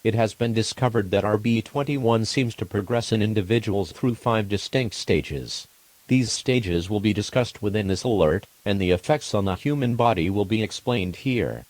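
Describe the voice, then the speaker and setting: Low-voiced man reading a formal emergency alert. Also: darkish tone, no echo. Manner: formal, measured, impersonal delivery. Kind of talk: scripted emergency alert narration.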